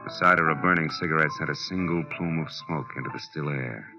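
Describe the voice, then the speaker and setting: An actor playing a wry, world-weary private detective in 1940s radio noir style, dry and sardonic. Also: male voice, a bass voice, a little fast. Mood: brooding narration. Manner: dry, hard-boiled, sardonic. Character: wry, tough, world-weary male private detective narrating his case. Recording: muffled old radio recording with music.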